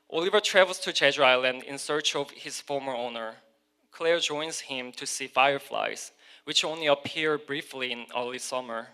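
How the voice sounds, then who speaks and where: A man's voice: mid-range voice, bright, forceful tone, clean tone. A man from Korea with a Korean accent, giving a prepared keynote speech in English as a non-native speaker and correcting small slips as he reads. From South Korea.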